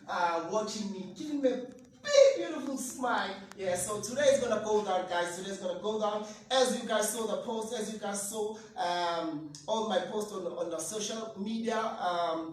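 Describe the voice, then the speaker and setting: A South African man with a Zulu-speaking accent, hosting an online talk show in an energetic, hypey way and slipping into Zulu. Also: high-pitched. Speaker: host. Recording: echoey room, mic at a distance, light noise.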